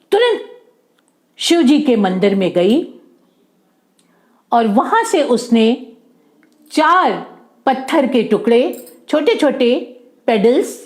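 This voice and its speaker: A woman telling a children's folk tale, pausing to repeat and explain words simply. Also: a little high, sing-song, a little mellow. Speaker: storyteller for children.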